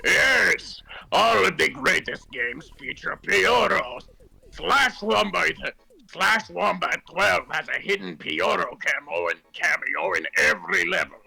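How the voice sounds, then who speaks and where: A man voicing a greedy, boastful, shady game-company boss with a gravelly cartoon voice and emphatic delivery. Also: high-pitched, slow pace, bright, forceful tone, exaggerated voice, poor audio. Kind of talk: cartoon voice acting in a fan story reading.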